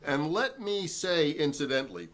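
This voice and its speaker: Defiant witness, animated and emphatic man acting. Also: on the high side. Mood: emphatic, indignant. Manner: emphatic, formal, deliberate repetition. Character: defiant, principled male witness at a 1950s congressional hearing. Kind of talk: dramatic reading of a hearing transcript.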